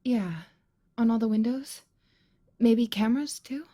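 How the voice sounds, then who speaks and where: A woman's voice: a little high, fairly even intonation, measured pace, mellow. A woman playing a frightened, exhausted young mother in an audio drama, with a fragile, naturalistic delivery. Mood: quiet, hesitant.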